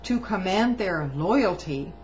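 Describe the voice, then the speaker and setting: A woman reading a written testimony aloud in a measured way, now and then stumbling and restarting a phrase. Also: mid-range voice, animated. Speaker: reader of a public document. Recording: muffled lo-fi recording with faint hum.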